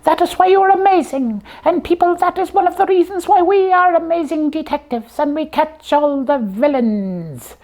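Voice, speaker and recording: A woman's voice: high-pitched, normal speed, hardly any pauses, darkish tone. A woman voicing a proud, fussy puppet detective in a comic puppet show, bossy and self-important.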